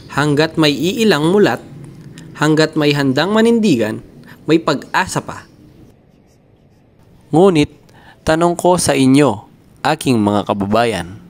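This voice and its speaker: A man playing a misunderstood old philosopher in a grave, deliberate, oratorical dramatic monologue. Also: medium pitch, pitched above the performer's own voice. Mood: pleading, defiant. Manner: oratorical, deliberate, rhetorical questioning. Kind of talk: theatrical dramatic monologue in Filipino.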